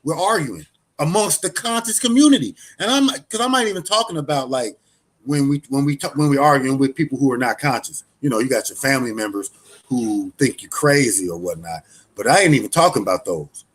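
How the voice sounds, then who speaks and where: A man's voice: mid-range voice, expressive, fairly quick, husky. A man giving loose, conversational commentary, full of restarts and fillers, as a show host. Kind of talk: casual spoken commentary.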